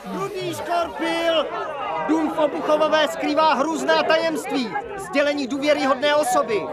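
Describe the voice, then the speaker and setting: An actor playing a newspaper seller crying out sensational headlines in a radio drama. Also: male voice, very high-pitched, steady tone, over a noisy crowd.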